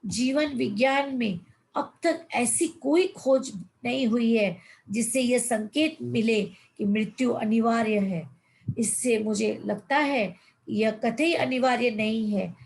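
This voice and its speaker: A woman's voice: on the high side, takes it slowly, gravelly, a little mellow, rough recording. A woman in her fifties, a life coach, leading a motivational online session. She reads passages aloud and then explains them, often calling her listeners friends and mixing in English words. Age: 50s.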